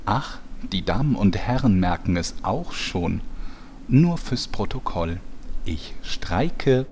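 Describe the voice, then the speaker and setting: A man acts a disgruntled storyteller, aggrieved and dry. His voice is fairly low. It sounds like a parody radio play, with slight noise in the background. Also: lively intonation, slow, darkish tone, full character voice. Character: disgruntled male storyteller on strike, sulky and demanding. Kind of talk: audio drama parody.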